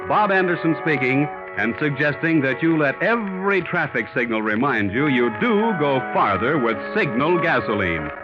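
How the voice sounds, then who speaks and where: A man's voice: fairly high pitch, very animated, moderate pace, hardly any pauses, music in the background. Male American announcer, 1940s radio. Emphatic, polished sponsor reads, very varied intonation, muffled vintage recording.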